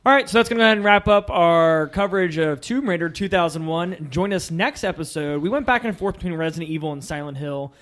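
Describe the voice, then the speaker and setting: A man's voice: fairly high pitch, fast talker, hardly any pauses, fairly bright tone. A man hosting a podcast in casual, chatty conversation about films. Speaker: podcast host. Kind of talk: casual film podcast chat.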